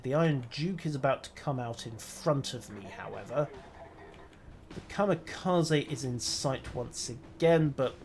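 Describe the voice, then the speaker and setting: A man's voice: fairly high pitch, slight background noise. Dry-witted man narrating gameplay. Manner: dry, wry, muttering self-commentary. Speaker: game streamer. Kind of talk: live gameplay commentary.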